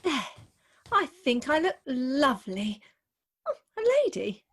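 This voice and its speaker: High-voiced girlish bride, played by a woman. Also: very animated, measured pace, breathy edge, heavily acted. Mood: pleased, innocent. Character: naive, vain young bride, sweet and simple. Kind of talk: comic radio play acting.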